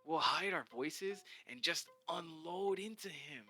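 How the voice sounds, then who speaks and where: A man's voice: fairly high pitch, slow pace, bright, forceful tone, soft background music, voice pushed up, exaggerated voice. A man voicing a taunting teenage girl bully. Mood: mocking.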